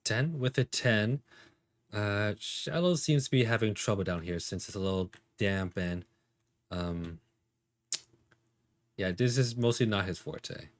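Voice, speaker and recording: A man with a fairly low voice narrates a tabletop game as its game master. His delivery is casual and a little hesitant, made up as he goes, and the sound is dry with little room on it. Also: unhurried, long pauses, dry recording.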